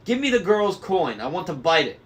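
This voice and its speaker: Grabby blind old hag, squabbling, a man putting her on, high shrill voice, distant mic. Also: sing-song, a little fast. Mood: greedy.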